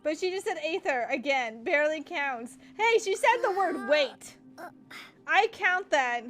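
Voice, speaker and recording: A high-voiced woman commentates on a game live as she streams. She is informal, playful and reactive, with music playing behind her. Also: animated, a little slow, fairly bright tone. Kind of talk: live gameplay commentary, casual chat.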